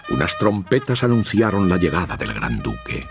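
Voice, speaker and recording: A man's voice: deep voice, sing-song, normal speed. A man narrating a children's fairy tale from a script, as a storyteller. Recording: music behind the voice.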